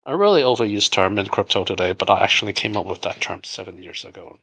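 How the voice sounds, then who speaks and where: A man's voice: lowish voice, animated, fast talker, gravelly, fairly bright tone, slightly rough. A middle-aged man from Hong Kong, a native Cantonese speaker, casually reading out and answering community questions with many fillers and restarts. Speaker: game studio founder answering community questions.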